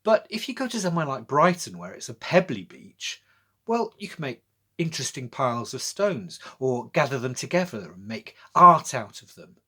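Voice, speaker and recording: Man, very lively children's poetry reader. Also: mid-range voice, moderate pace, husky. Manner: addresses young listeners directly with questions. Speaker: poet reading own children's poems. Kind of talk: children's poetry reading with friendly explanatory chat.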